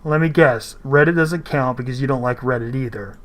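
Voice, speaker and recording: A man's voice: medium pitch, fairly quick. A man giving blunt, argumentative, off-the-cuff reaction commentary in a casual style, swearing as he goes.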